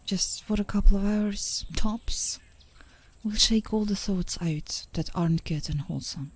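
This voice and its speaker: A woman playing an affectionate, teasing girlfriend in an intimate ASMR roleplay, speaking softly and soothingly, often in a whisper, close to the microphone. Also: medium pitch, measured pace. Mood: caring, gentle. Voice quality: soft, breathy, often whispering. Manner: soothing, playfully coaxing, with small chuckles. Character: gently coaxing, teasing, affectionate girlfriend on a park date.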